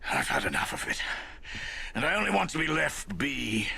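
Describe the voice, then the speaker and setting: An ancient, world-weary old man for a game cutscene. His voice is very husky and cracked with gravel, yet cuts through forcefully. He grumbles bitterly and broods dramatically, dragging out his words. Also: a little high, wildly swooping pitch, normal speed, heavily acted. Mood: bitter, brooding. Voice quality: raspy, gravelly, breathy. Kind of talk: video game cutscene voice acting.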